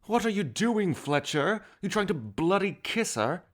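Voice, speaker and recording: An actor playing a greedy, impatient, sour treasure-hunting sailor of the 1790s in an audio roleplay, brusque and exasperated. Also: male voice, sits high, very animated, a little slow, full character voice. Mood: impatient, scornful.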